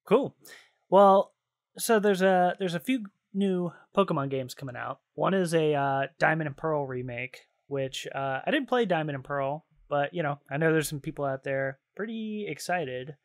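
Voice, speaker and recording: A man hosting a gaming podcast, talking casually in a loose, halting conversational way. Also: fairly high pitch, expressive. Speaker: podcast host.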